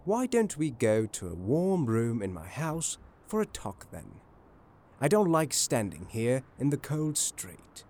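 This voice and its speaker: A man playing a confident, shrewd detective in a dramatised audiobook, polite, measured and knowing. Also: mid-range voice, huge pitch swings, a little mellow, heavily acted. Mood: polite, probing.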